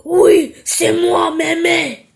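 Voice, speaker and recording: A woman's voice: very high-pitched, little pitch movement, very slow, gravelly, pitched above the performer's own voice, heavily acted. A woman voicing a sly male wolf who fakes a little girl's voice, drawling and wheedling.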